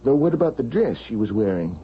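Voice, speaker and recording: Earnest worried doctor in old radio drama, gravelly, pitch jumps around, halting. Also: male voice, very animated, heavily acted. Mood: concerned, pondering. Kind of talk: 1970s radio drama acting. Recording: muffled, hissy vintage radio.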